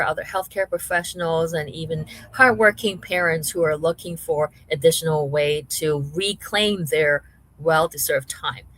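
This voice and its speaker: A middle-aged woman with a slightly low voice hosts a live online chat. She is from Hong Kong and grew up in Canada, and she speaks as the interviewer. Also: a bit bright, poor audio. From Hong Kong, raised in Canada. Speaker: host and interviewer.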